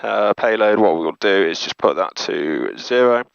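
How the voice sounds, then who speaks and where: A man's voice: lowish voice, animated, unhurried, no echo. A man presenting as a pilot, methodically talking through hands-on cockpit checks item by item. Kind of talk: hands-on cockpit procedure walkthrough. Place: small turboprop aircraft cockpit. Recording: muffled audio with clear aircraft noise.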